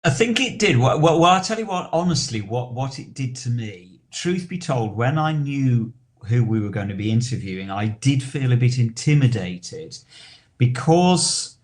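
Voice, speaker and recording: A man co-hosting a podcast, chatting casually and earnestly in a halting way that often repeats words. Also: medium pitch, expressive. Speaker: podcast co-host. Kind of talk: casual chat.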